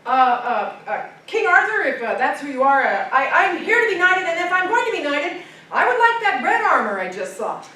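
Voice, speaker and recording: A woman's voice: high-pitched, lively intonation, moderate pace, a bit bright. A woman voicing a naive, earnest young man who wants to be a knight, in an echoey room far from the mic. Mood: eager. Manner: earnest and clueless. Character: naive, eager young would-be knight, male.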